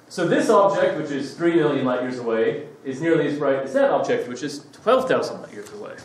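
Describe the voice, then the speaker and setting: Australian guy lecturing, jokey and rambly, kinda high voice, boomy room. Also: slight background noise. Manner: chatty, joking, many fillers. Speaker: guest lecturer. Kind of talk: public astronomy lecture. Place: lecture hall. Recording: echoey hall, mic from far off.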